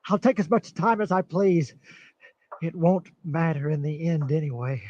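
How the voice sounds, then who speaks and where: An actor playing a nervous, paranoid middle-aged man who stammers and breaks into shrill outbursts, in an old-time radio drama style. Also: normal speed, darkish tone, a little hiss or hum, lightly acted. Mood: gloating. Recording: dull lo-fi recording.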